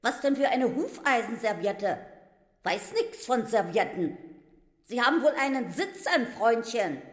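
A woman's voice: fairly high pitch, very animated, a little slow. An actress playing a nosy, greedy, fawning gossip woman in a radio drama, exclamatory and wheedling. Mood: defiant denial. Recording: roomy, echoing stairwell sound.

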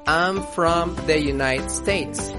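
A woman teaching English on a school radio program, speaking slowly and carefully as she repeats example phrases. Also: deep voice, music in the background. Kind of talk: slow scripted radio lesson.

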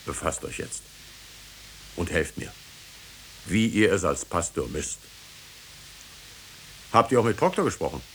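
An actor playing a stern, commanding judge who will not bend, in formal 1950s German radio-drama style. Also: male voice, deep voice, sing-song, a little slow, pauses often, fairly bright tone, a little hiss or hum, exaggerated voice, poor audio. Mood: cold, businesslike. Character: stern, unyielding male judge of authority.

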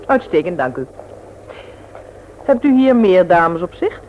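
Through heavy noise on an old radio drama recording comes a brisk, practical woman. She is forward and bossy, questioning a suitor in a businesslike way. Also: lowish voice, big pitch swings, narrow, tinny audio. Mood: brisk, self-assured. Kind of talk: Dutch radio play acting.

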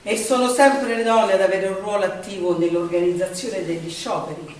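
A woman's voice: medium pitch, fairly even intonation, moderate pace, a little mellow, natural voice. A woman performing a declamatory theatrical narration. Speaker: stage performer. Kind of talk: theatrical storytelling on the wartime Resistance. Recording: echoey stage, distant mic, faint noise.

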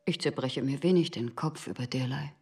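A woman playing a guarded, impassive shopkeeper's wife with a husky voice and terse answers in a radio crime drama. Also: extremely low pitch, a little fast, slight background noise. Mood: guarded, indifferent. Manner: flat, terse, withholding.

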